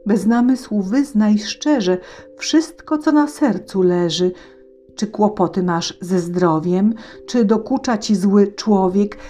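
A woman voicing a small magic humpbacked horse who asks gently and with concern. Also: mid-range voice, slow, soft background music, lightly acted.

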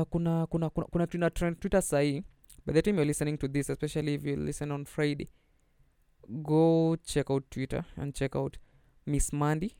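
Kenyan guy chatting on his podcast, smooth clean voice, dry no room sound. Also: on the high side, no room sound. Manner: mixes English and Swahili slang, chatty and animated. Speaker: podcast host. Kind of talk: casual solo podcast chat.

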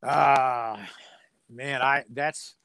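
A man's voice: medium pitch, fairly even intonation, very slow, gravelly, a bit bright, smooth, no echo. Folksy man chatting casually about sports. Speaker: radio sports play-by-play announcer as interview guest.

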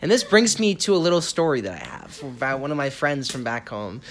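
A young woman with a low, lively voice hosts a loose podcast chat. She is from Boston and talks in a laid-back way, often breaking into laughter. Her pitch sits low but swings widely. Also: brisk. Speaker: podcast host. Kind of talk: casual group chat.

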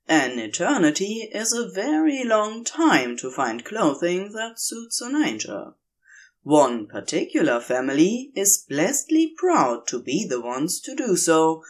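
An unhurried storyteller of androgynous pitch reads scripted fiction aloud. The delivery is expressive and highly melodic, rising and falling widely, with deliberate pauses between phrases. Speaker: podfic reader. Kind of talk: scripted narration, reading fan fiction aloud.

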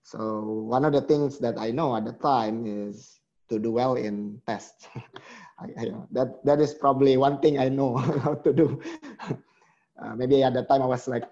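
A middle-aged man speaks as an interview guest, thoughtful and a little halting, often restarting his sentences. His voice is warm and mellow at an ordinary pitch. The recording is dull and lo-fi. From Indonesia. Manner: reflective, hesitant with restarts. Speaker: guest, company chief executive. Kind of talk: conversational interview.

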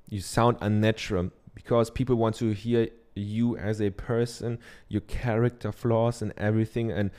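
Man, german accent, low mellow voice, relaxed chatty explainer with lots of ums. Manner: loose and chatty, many ums and tag questions. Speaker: podcaster. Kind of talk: casual how-to talk. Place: treated home office. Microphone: dynamic studio microphone.

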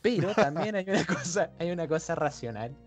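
A man's voice: fairly high pitch, a little fast, music in the background. A young adult man with an Argentine Spanish accent, co-hosting a podcast and telling casual stories about growing up reading comics. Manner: relaxed storytelling with frequent hesitations and laughs. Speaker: podcast co-host. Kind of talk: casual chat.

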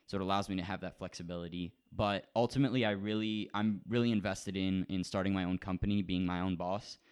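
A teenage boy co-hosting a podcast, talking casually and at length, with frequent restarts, getting animated when he tells a story. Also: sits low, quick pace, clean tone. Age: teens. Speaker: podcast co-host. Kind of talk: casual chat.

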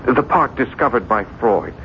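A man's voice: animated, normal speed, close to an everyday voice. A man playing a tormented, obsessed writer in a 1970s radio drama, brooding and argumentative in a theatrical way. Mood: haunted, fervent. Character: tormented male French writer, obsessed with an unseen evil. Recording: dull 1970s radio broadcast, faint rumbling noise.

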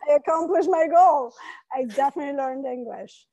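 Woman guest raised in Iran and Italy, gravelly mellow voice, earnest and reflective storyteller. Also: high voice, normal speed, low-quality recording. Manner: earnest, reflective, soft-spoken. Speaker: coach, podcast guest. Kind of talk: personal storytelling in interview.

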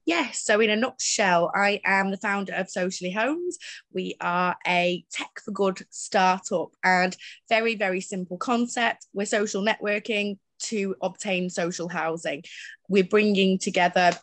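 A woman's voice: mid-range voice, measured pace, no room sound. Chatty woman in her thirties. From Greater Manchester, England. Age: 30s. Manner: chatty, animated, with frequent restarts. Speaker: podcast guest, startup founder. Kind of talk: podcast interview storytelling.

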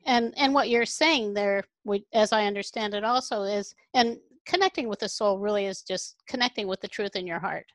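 A woman's voice: mid-range voice, moderate pace, few pauses, no room sound. Reflective woman host, dry sound. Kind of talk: interview hosting.

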